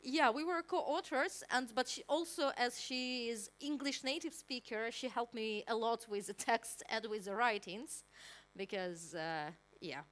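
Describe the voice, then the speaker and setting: Young woman with a Ukrainian accent, slightly high voice; academic guest, hesitant Q&A delivery. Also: a little slow, fairly bright tone. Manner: hesitant, many fillers and restarts. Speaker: guest speaker. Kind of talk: answering questions at an academic talk.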